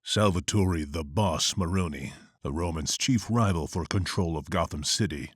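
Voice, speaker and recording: A man playing a grim, brooding vigilante who narrates and speaks tersely and gravely. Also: low-pitched, moderate pace, dry recording, studio quality. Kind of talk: inner narration and dialogue.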